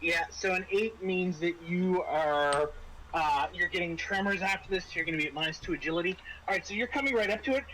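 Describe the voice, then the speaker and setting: Bright-voiced man running a tabletop game. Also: high-pitched, slight background noise, poor audio. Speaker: game master. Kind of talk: improvised tabletop game narration and casual rules talk.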